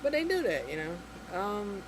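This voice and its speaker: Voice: medium pitch, big pitch swings, measured pace, noisy background. A vlogger ranting casually to camera, rambling and exasperated, with lots of "you know" and "like" fillers. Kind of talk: casual opinion rant to camera.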